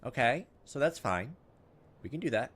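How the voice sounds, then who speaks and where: A man's voice: medium pitch, animated, moderate pace, background noise. A man doing gameplay commentary, casually thinking aloud and reading visual novel text as he goes. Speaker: video game playthrough host.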